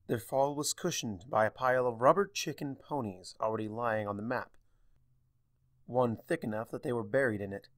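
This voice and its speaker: A man narrating a fan fiction story in an expressive storytelling style. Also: medium pitch, dry recording, studio quality.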